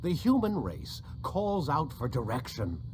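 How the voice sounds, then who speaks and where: An older scientist villain in a game, lecturing with condescension. His mid-pitched voice is very animated and clipped, with faint noise behind it. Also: a little slow. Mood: condescending. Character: condescending, impatient older male scientist, coldly idealistic villain. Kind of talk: dramatic video game acting.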